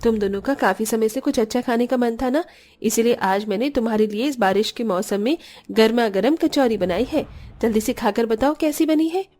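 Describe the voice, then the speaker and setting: Poor young widowed mother for cartoon tale, played by a woman: fairly high, earnest, caring, expressive. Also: normal speed, close to an everyday voice. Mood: caring, hopeful. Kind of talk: cartoon story dialogue.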